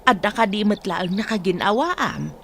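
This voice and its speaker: A woman acts a caring younger sister in a radio drama. The voice is mid-pitched, with clear noisy ambience and birds behind. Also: expressive, moderate pace, fairly bright tone, natural voice. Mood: concerned, gentle. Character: caring woman looking after an older sibling. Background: outdoor ambience, birds.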